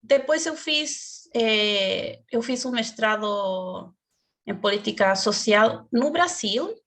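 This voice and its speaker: Higher-voiced woman on a video call. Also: lively intonation, fairly bright tone. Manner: measured, explanatory, searching for words. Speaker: guest speaker. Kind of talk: career talk in a webinar.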